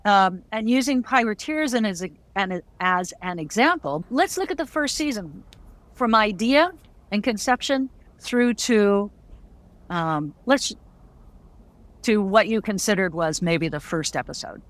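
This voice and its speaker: Woman hosting a relaxed, conversational interview. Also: medium pitch, unhurried, no room sound. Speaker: interview host; audio drama writer and creator.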